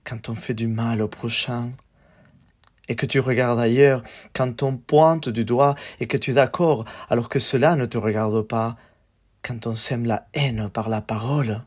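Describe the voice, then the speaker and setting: A man gives a spoken-word poetry recital at a slow pace. His delivery is measured and declamatory, broken into short phrases with pauses between them. His voice sits at a medium pitch for a man.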